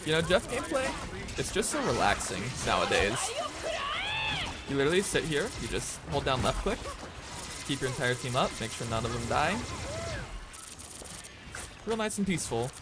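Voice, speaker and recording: A young man streaming a game at night, talking softly and calmly in a hushed voice so as not to wake someone nearby. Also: mid-range voice, moderate pace, long pauses. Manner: soft, calm, hushed talk. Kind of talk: quiet casual gameplay chat. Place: room at home at night.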